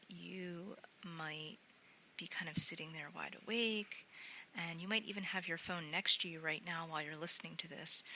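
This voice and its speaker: A woman guiding a sleep meditation in a gentle, soothing, unhurried way, often softening into breathy near-whispers. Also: lowish voice, a little hiss or hum. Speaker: meditation guide.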